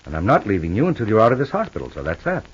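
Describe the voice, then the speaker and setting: A dull old radio recording holds a devoted, stubborn husband in a soap opera. His voice is fairly low and rough, with lively rises and falls. He is affectionate and offhand, yet firm about looking after his wife. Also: racing, exaggerated voice. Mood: firm, loving. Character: protective, stubbornly loving husband, a famous actor.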